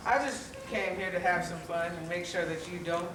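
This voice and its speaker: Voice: mid-range voice, fairly even intonation, normal speed, lightly acted. An actor playing a grumpy, taunting bully shark in a children's stage play. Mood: gloating, menacing. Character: grumpy bullying shark who crashes parties, later softened. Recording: echoey stage, distant mic, noisy.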